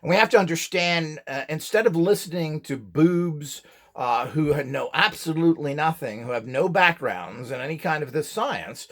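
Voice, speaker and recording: A man's voice: on the high side, animated, moderate pace, fairly bright tone. A middle-aged man giving an informal, opinionated lecture as an instructor, rambling off the cuff in a blunt, scornful and emphatic way. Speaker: instructor and host of a how-to video series.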